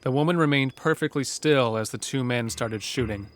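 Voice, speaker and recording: A man's voice: mid-range voice, normal speed, very noisy. A man reading scripted narration for a horror audio drama, as a storyteller. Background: music under the narration.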